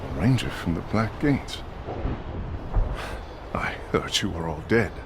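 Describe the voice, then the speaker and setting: An actor playing a resolute middle-aged general in a video game, gravelly and weighty in his commands. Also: deep voice, very animated, takes it slowly, full character voice. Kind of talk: dramatic video game acting. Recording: noisy background.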